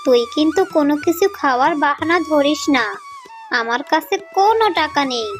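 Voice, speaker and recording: A woman voicing a poor, gentle, weary fox mother in a cartoon, earnest and softly chiding. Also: sits high, lively intonation, brisk, soft background music. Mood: worried, chiding. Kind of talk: Bengali cartoon voice acting.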